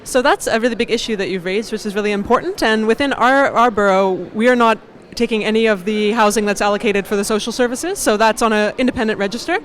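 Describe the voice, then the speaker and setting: Brisk woman. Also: on the high side. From Canada. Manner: brisk, informative. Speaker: refugee volunteer.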